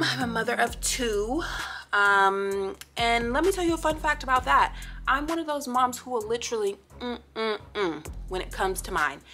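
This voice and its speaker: A woman's voice: fairly high pitch, pushed and bright. A woman in her late twenties from the Pacific Northwest, chatting to camera in a sassy, playful, blunt way. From Pacific Northwest, USA. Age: 20s. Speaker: vlogger. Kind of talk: casual story-time chat to camera.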